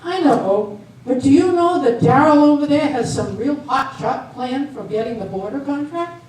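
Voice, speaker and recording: A woman's voice: high-pitched, animated, normal speed, darkish tone. An amateur actress, a woman playing a nosy, needling small-town reporter in a stage comedy reading, sly and wry. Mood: amused, stirring. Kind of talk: amateur readers theater comedy acting. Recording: very echoey hall from far off, faint hiss.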